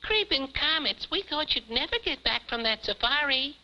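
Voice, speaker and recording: Perky, piercingly bright little alien puppet. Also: female voice, high-pitched, animated, normal speed, exaggerated voice. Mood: curious, perky. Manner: quaint exclamations, eager and earnest. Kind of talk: 1950s television puppet show acting. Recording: muffled, hissy 1950s television soundtrack.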